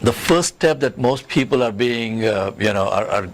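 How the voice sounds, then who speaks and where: A man's voice: medium pitch, expressive, normal speed. A man giving career advice in an interview as a coach, with an instructive, emphatic manner that repeats key points. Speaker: career coach and job search expert. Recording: clearly noisy background.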